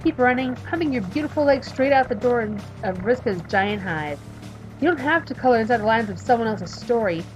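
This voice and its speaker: A woman in her thirties reading a visual novel aloud and chatting about it, emphatic while reading and quiet when talking about herself. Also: a little high, lively intonation, fairly quick, music in the background, low-quality recording. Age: 30s. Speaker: let's play streamer reading a visual novel. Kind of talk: reading game text aloud and commenting.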